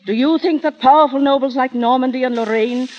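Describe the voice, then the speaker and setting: A woman's voice: high-pitched, brisk, darkish tone, a little hiss or hum, lightly acted. An actress playing an imperious older queen mother with a formal, biting, scornful delivery in old radio drama style. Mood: stern reproach. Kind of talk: 1930s-40s radio drama acting. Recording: dull vintage radio recording.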